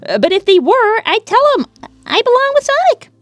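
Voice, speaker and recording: A woman's voice: very high voice, big pitch swings, no room sound. A woman voicing a perky, lovestruck young hedgehog girl in a cartoon Q&A, gushing and easily flustered. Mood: breezy, dismissive. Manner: gushing, quick to get flustered and defensive. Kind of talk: in-character cartoon Q&A.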